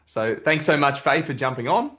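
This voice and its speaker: A man's voice: fairly high pitch, lively intonation, normal speed, fairly bright tone. Man hosting a casual interview. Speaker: host, property investment coach. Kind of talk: casual online interview introducing a guest. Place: remote video call.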